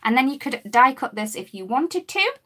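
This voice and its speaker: A woman, her voice a bit croaky, demonstrating coloring with markers in a chatty live-stream lesson. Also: high-pitched, very animated, normal speed, sharp tone. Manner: chatty, talks while working, greets viewers. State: slightly croaky voice.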